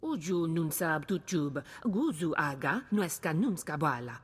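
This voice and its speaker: A woman's voice: deep voice, sing-song, measured pace, breathy edge, heavily acted. An actress playing a female alien in a video game, speaking lines in an invented alien tongue. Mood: earnest. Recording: long reverberant tail.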